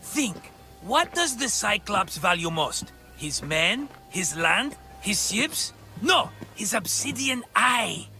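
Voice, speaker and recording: An actor playing a cheerful, cowardly schemer, talking in a theatrical, wheedling, grandiose way in a video game. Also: male voice, sits high, very animated, slow, creaky, bright, forceful tone, soft background music, heavily acted. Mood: excited scheming. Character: scheming, cheerful, cowardly small-time hustler, grown man. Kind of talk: video game cutscene acting.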